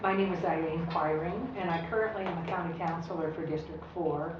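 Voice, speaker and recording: A woman from Washington State introducing herself as a candidate on a panel, halting at times with small laughs. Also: fairly low pitch, steady tone, background noise. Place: public meeting room. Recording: echoey room from far off, dull.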